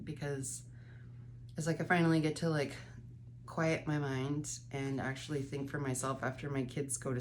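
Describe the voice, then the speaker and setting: A woman's voice: deep voice, darkish tone, a little hiss or hum. A woman in her thirties with a stuffy, congested nose, candidly rambling through a late-night vlog from her bedroom, sometimes swearing. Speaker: vlogger.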